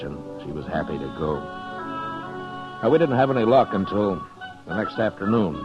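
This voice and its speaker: Man playing deep voiced old west marshal, terse and steady, muffled 50s radio with music. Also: natural voice. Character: stern, steady frontier town marshal, adult man. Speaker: lead actor and narrator. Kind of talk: 1950s western radio drama acting.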